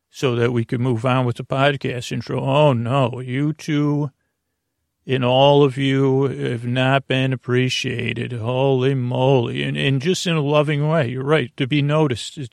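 Male sleep podcast host: gravelly creak, close dry sound, soothing, wandering, stop-start delivery. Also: mid-range voice, no room sound. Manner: meandering, halting, repeats himself, drifts into tangents; soothing, lulling. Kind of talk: rambling bedtime sleep podcast monologue.

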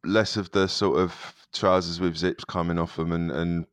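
A man's voice: deep voice, little pitch movement, normal speed, smooth, no room sound. A man co-presenting a football podcast in casual chat, with a dry, wry manner.

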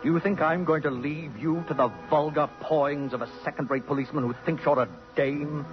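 A man's voice: fairly high pitch, fairly even intonation, a little fast, natural voice. Male actor, murderous aesthete villain, florid, haughty, coldly possessive, 1950s radio play, muffled, music underneath. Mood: possessive, disdainful. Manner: pompous, disdainful, florid. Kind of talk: 1950s radio drama acting.

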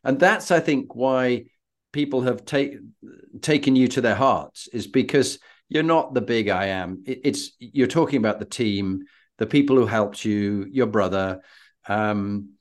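A man's voice: medium pitch, a little slow. A man with a British accent hosting a conversational interview, telling anecdotes in an effusive, emphatic way. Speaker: interview host.

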